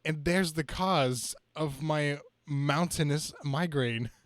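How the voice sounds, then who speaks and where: A harried young superhero from a comic book, read by a man, full of quips and self-pity. The voice sits slightly high and is captured dry, with a melodramatic, stumbling read. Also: takes it slowly, no room sound. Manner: wisecracking, melodramatic, stumbling reading. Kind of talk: dramatic reading of a comic book.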